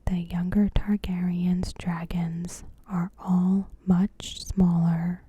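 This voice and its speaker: Female ASMR narrator: whispered, very breathy commentary, extremely unhurried, in short measured phrases. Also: fairly low pitch, level tone, dark tone, dry recording. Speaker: ASMR host. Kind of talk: ASMR, softly spoken TV episode commentary.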